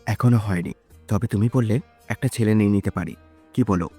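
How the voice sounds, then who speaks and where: A woman voicing a young man in a romantic audio story, playful and soothing in an unhurried way. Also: rumbling low, dark tone, slightly rough, soft background music, pitched below the performer's own voice. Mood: teasing, affectionate. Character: young man, teasing husband or calm reassuring suitor.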